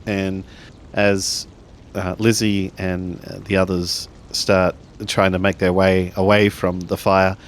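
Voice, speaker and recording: A man narrating a tabletop roleplaying game in a halting way, building his sentences bit by bit. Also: sits low, little pitch movement, a little hiss or hum. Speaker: tabletop RPG player.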